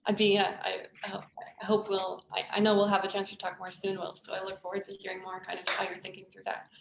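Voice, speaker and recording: Woman leading a webinar, gravelly edge. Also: medium pitch, completely flat delivery, fast talker, roomy sound, background noise. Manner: thoughtful, many fillers and restarts. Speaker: workshop facilitator. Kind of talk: online workshop presentation. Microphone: video call.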